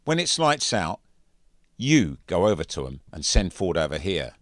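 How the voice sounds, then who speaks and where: A man's voice: deep voice, very animated, normal speed, no room sound, clean studio sound. A man voicing a scornful, bullying teenage schoolboy in an audiobook, with a taunting, emphatic delivery. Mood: bossy, scheming. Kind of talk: audiobook character voice.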